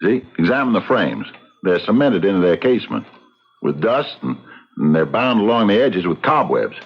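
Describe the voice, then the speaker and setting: A shrewd frontier sheriff on a dull, slightly noisy radio drama recording. He reasons in a deliberate, folksy way, with a low voice that rises and falls freely. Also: very animated, breathy edge, exaggerated voice. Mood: probing, methodical. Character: shrewd, unhurried frontier sheriff, calmly puzzling out a crime. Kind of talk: old-time radio drama acting.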